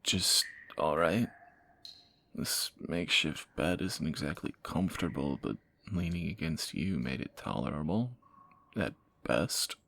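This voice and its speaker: A man playing a groggy fantasy assassin in an intimate romantic audio roleplay, husky and halting, with wry understatement. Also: very deep voice, animated, a bit bright, heavily acted. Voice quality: gravelly, husky morning voice. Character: groggy male fantasy assassin, softening captor, flustered and teasing.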